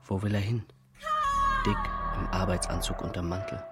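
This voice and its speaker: A man's voice: deep voice, steady tone, moderate pace, over loud music. An actor playing a brooding, restless man in a literary radio drama, telling his story in terse, clipped fragments. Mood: watchful, terse.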